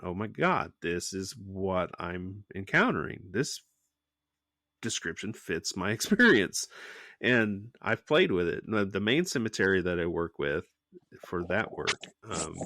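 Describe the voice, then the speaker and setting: A very low-voiced man hosting a casual podcast. He is stuffy from a sinus infection and talks with chatty enthusiasm. His voice sounds dry and close to the mic. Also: a bit bright, dry recording. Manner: enthusiastic, chatty, laughs often. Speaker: podcast host.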